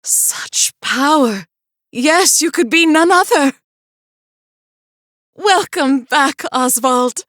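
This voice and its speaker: A woman voicing a loyal, skeptical scholar's assistant in a video game, with formal, deliberate and theatrical speech. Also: sits high, expressive, fairly bright tone, exaggerated voice. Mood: delighted, admiring. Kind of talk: dramatic video game voice acting.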